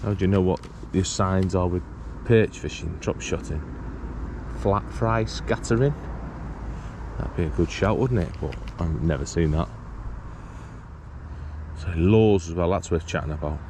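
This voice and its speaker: A low-pitched British man talks casually outdoors, musing aloud like an angler presenting his own fishing video. His speech is colloquial and full of questions, picked up on an action camera with clear ambient and traffic noise around him. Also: measured pace. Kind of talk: casual chat to viewers while fishing. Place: outdoors beside a small pond. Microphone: body-worn action camera.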